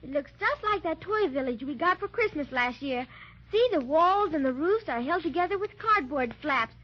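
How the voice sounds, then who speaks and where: A performer playing an earnest, curious little girl, gentle and polite, in 1930s children's radio serial style. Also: sits high, animated, moderate pace. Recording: muffled 1930s radio transcription recording.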